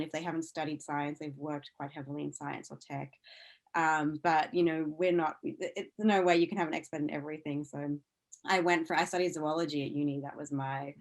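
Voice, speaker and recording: Low-pitched, chatty Australian woman. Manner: chatty, informal, filler "like" and self-interruptions. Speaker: interview guest, science news CEO. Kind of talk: casual conversational interview answers.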